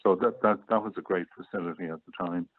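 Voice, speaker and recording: An older Irish man being interviewed, reminiscing casually in a measured, easygoing way. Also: low voice, fairly even intonation, no room sound. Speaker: guest interviewee. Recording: thin phone-like call audio.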